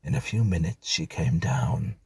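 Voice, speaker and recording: A man narrates a ghost story in a low, very husky voice. The delivery is measured and formal, and the sound is dry and close with little room. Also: expressive, a little mellow, no room sound. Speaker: audiobook narrator.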